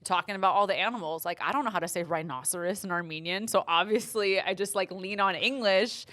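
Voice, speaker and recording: A young woman from in casual podcast chat, talking easily with plenty of "like" and quick laughs. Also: mid-range voice, a bit bright. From Glendale, California. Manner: chatty, laughs easily, lots of "like". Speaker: podcast guest.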